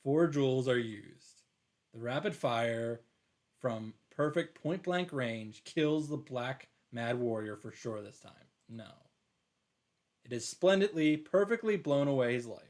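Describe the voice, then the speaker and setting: A man reading a visual novel aloud as a streamer, in an emphatic, dramatic storytelling style with drawn-out pauses. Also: medium pitch, lively intonation, a little mellow. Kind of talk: dramatic narrated read-aloud.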